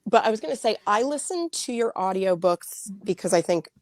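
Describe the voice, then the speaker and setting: A woman co-hosting a live podcast, bubbly and rambling, openly excited as a fan of her guest. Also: medium pitch, brisk, no echo, clean studio sound. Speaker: podcast co-host. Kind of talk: enthusiastic live podcast interview.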